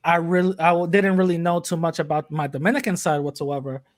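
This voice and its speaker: Joking New man, fairly high voice. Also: steady tone, a little fast, no echo, studio quality. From New York City, USA. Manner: joking, rambling, with fillers and laughs. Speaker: podcast host, interviewee. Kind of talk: casual interview chat.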